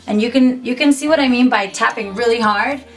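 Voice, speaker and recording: A young woman talks through a beginner tutorial in a small home studio. Her voice sits a little high, her delivery is chatty and upbeat, and faint music plays underneath. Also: fairly quick, poor audio. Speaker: musician and YouTuber. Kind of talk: casual tutorial to camera.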